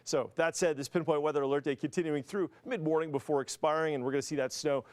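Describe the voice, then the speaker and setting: Male American weathercaster, slightly high pitch, rapid conversational delivery, explains as he goes. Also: steady tone. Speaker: TV weather forecaster. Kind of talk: conversational broadcast weather forecast.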